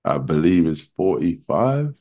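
A man with a deep voice, a podcast guest talking in a relaxed, rambling way full of fillers. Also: unhurried, phone-quality sound. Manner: relaxed, rambling, many "kinda" and "you know". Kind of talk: casual podcast chat.

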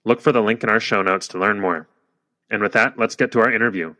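A man's voice: fairly low pitch, quick pace, pushed and bright. A young man hosting a podcast, reading the show intro in an upbeat, polished way. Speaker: podcast host.

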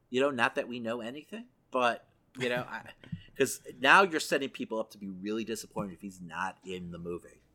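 Man, podcast co-host, bright crisp-edged tone, average pitch, informal entertainment news talk. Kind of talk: casual entertainment news chat.

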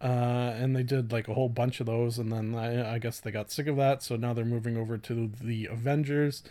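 A man hosting a solo podcast in a casual, rambling way, halting often, swearing lightly and putting himself down. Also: mid-range voice, little pitch movement, mellow. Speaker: podcast host.

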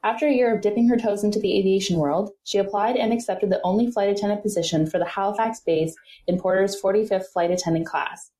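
A woman hosting a podcast, reading a smooth scripted introduction and asking interview questions. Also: medium pitch, quick pace, distant mic. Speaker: podcast host. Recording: roomy echo, off-mic, soft music.

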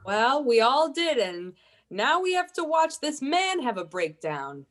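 A woman's voice: sits high, big pitch swings, unhurried. A woman playing a sardonic, unfazed office colleague in a comic radio play. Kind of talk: improv podcast radio play acting.

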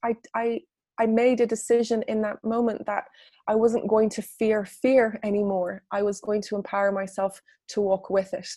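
A woman's voice: mid-range voice, level tone, moderate pace, dark tone, no room sound. Earnest woman coach telling a story, restarts a lot, dry close sound. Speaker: coach and author. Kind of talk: reflective interview answer.

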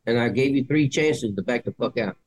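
A man's voice: mid-range voice, a little fast, dry recording, low-quality recording. A middle-aged man co-hosting a nursing podcast, talking bluntly with lots of swearing in a casual debate. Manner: blunt, profane, straightforward. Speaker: podcast co-host, nurse.

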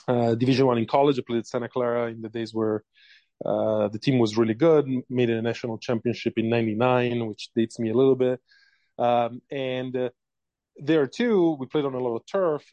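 A man's voice: medium pitch, brisk, warm tone, dry recording, dull audio. A man in his forties from Italy with an Italian accent, a company founder telling his story in a casual interview, hesitant with many fillers.

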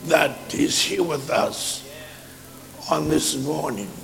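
A hoarse man a sermon in a chanting style that builds into shouts. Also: fairly high pitch, slow pace, big-room sound, over music. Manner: chanted preaching rising to shouts. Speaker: preacher.

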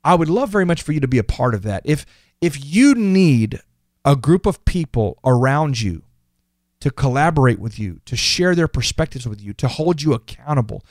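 A man's voice: medium pitch, sing-song, moderate pace, darkish tone, no room sound. Host, male, 50s: earnest motivational podcast monologue, emphatic repeats, dry close mic.

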